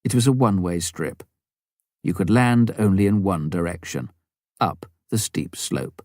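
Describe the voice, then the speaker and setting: Lowish male narrator with very animated pitch. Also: a little slow, dry recording, studio quality. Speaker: audiobook reader. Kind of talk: scripted narration of a memoir.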